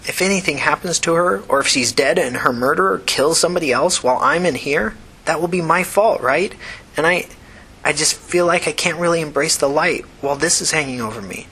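Man voicing a weary, urgent young woman, bright-toned. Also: mid-range voice, breathy edge, pitched above the performer's own voice. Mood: weary, guarded. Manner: halting, urgent, imploring. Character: tired, anxious, defiant young woman held captive.

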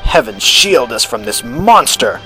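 An excitable old gentleman from a storybook, put on by a man reading aloud. He sounds anxious and exclamatory, speaking in a formal, old-fashioned way with plenty of drama. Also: medium pitch, measured pace, faint music behind. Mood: grave, fearful. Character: excitable elderly gentleman host, anxious eyewitness.

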